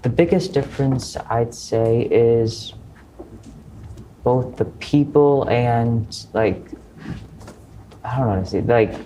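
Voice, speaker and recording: A man's voice: medium pitch, takes it slowly, pauses often, dark tone, a little hiss or hum, low-quality recording. A young man in a casual student panel talk, hesitant and correcting himself often. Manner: hesitant, many fillers and self-corrections.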